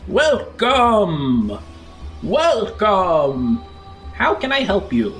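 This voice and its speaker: Tabletop game creature, played by a man: eager feathered bird merchant, high voice, patchwork of copied phrases, light music behind. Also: wildly swooping pitch, extremely slow, a bit bright, heavily acted. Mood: eager, welcoming. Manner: stitches together copied stock greeting phrases.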